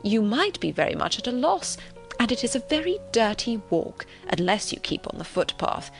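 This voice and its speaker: A woman voicing a fussy, gentle old gentleman who talks on courteously and fretfully in an audiobook. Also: on the high side, sing-song, moderate pace, creaky, voice pushed up. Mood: fussing. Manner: courteous, fretful, long-winded. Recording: relaxing music behind the voice.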